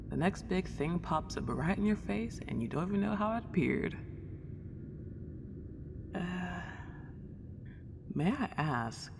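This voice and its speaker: Woman acting slow-talking friendly ghost girl, cavernous reverb. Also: very deep voice, heavily acted. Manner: genteel, chatty, old-fashioned hostess politeness. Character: friendly, polite, lonely century-old young female ghost. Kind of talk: roleplay ASMR audio drama. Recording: long reverb, clear background noise.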